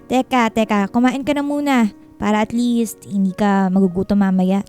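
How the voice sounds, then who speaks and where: A woman's voice: medium pitch, fairly even intonation, moderate pace, dark tone, smooth, faint music behind, close to an everyday voice. An actress playing a bossy, teasing female friend and team organizer with a Filipino accent in a radio drama. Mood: brisk, caring.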